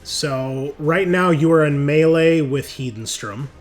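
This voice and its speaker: A man's voice: a little high, measured pace, faint music behind. Casual man running a tabletop game. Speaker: game master.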